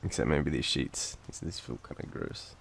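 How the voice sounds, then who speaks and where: A young Australian man with a cold, coughing now and then, murmuring softly and teasingly in an intimate boyfriend roleplay. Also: extremely low pitch, moderate pace, vocal fry, slight background noise. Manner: soft, drowsy, teasing murmur.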